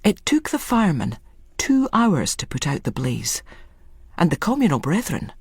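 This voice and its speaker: A woman's voice: on the low side, big pitch swings, normal speed, breathy edge, no room sound, clean studio sound. A woman reading a scripted literary story as a dramatic narrator.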